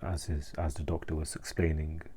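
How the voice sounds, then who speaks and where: A man speaking in a hesitant, halting way, searching for words and subdued with distress, in a personal reflective vlog monologue. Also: very deep voice, flat delivery, dark tone, a little hiss or hum. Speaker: mental health vlogger.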